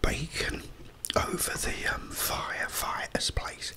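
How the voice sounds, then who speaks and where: A middle-aged man tells personal stories in an ASMR style. He speaks in a hushed whisper, very slowly and haltingly, leaving pauses between short phrases. His delivery is unhurried throughout. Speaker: ASMR creator.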